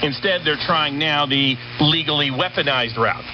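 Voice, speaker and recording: A man's voice: fairly high pitch, normal speed. A man giving unscripted political analysis as an interview guest, emphatic and building his points in lists and repeated phrases. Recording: dull, noisy shortwave radio relay.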